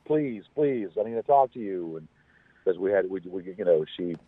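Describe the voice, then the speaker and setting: A man under the weather, talking casually on the phone in a halting, repetitive, rambling way. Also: low voice, animated, breathy edge, no echo. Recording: thin, phone-like call audio.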